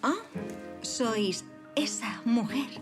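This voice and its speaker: A wry aristocratic woman in a French Revolution audio drama. Her voice is rather high, her delivery refined and courteous, with faint music underneath. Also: extremely slow. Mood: polite curiosity. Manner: courteous, refined, formal archaic phrasing. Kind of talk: period audio drama acting.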